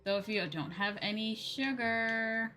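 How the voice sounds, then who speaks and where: A woman's voice: on the high side, measured pace, sharp tone. A woman livestreaming a game with casual commentary, chatting to viewers and rambling as she thinks aloud. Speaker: livestreamer playing a farming game.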